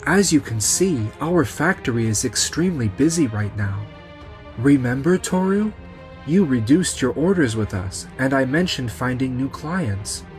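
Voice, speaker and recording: The self-assured salaryman in an animated office romcom , acted by a man, making his case slowly with big rises and falls. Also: mid-range voice, faint music behind, close to an everyday voice. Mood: firm. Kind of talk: scripted manga dub acting.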